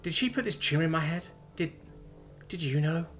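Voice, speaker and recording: A man's voice: fairly high pitch, animated, brisk, fairly bright tone, faint music behind, pitched above the performer's own voice. A man voicing a tough, foul-mouthed woman who is teasing and tender, in an emotional storytelling read. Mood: grieving, pleading. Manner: blunt, wry, casual swearing.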